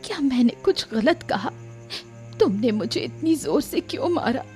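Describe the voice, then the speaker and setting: A woman voices a mistreated wife in a cartoon moral tale. She sounds meek and gentle, pleading timidly in a fairly high voice. Music plays behind her. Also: animated, moderate pace. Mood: hurt. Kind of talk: cartoon moral-story voice acting.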